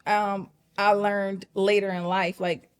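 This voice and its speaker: A woman's voice: mid-range voice, flat delivery, slow pace, smooth. Woman guest, first language Patois, candid, reflective, conversational. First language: Jamaican Patois. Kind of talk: casual talk-show conversation.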